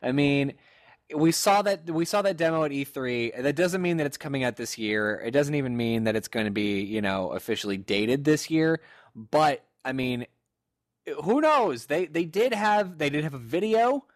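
A man's voice: a little high, expressive, moderate pace. A man chatting casually as a podcast host, with a playful manner. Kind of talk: casual chat.

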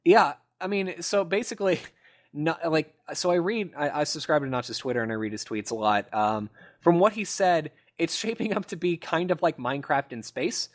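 A man's voice: fairly high pitch, moderate pace. A man hosting a casual gaming podcast, explaining things in a rambling, enthusiastic way. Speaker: podcast host.

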